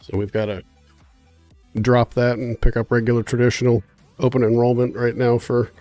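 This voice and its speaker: A man's voice: medium pitch, flat delivery, moderate pace, warm tone, faint music behind. A man chatting casually on a gaming livestream, with a congested, nasal sound and a laid-back, rambling way of talking. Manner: chatty, laid-back, thinks aloud with fillers. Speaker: streamer.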